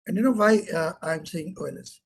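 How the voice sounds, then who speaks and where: A man's voice: fairly high pitch, brisk, vocal fry, breathy edge. A middle-aged man with an Indian English accent, giving an interactive online lecture, asking questions and repeating key terms for emphasis.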